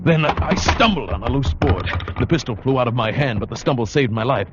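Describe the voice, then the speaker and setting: An actor playing an adventurer who narrates his own ordeal in a dramatic, halting, suspenseful radio style. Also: mid-range voice, lively intonation, background noise, lightly acted. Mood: frantic. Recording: dull old radio broadcast recording.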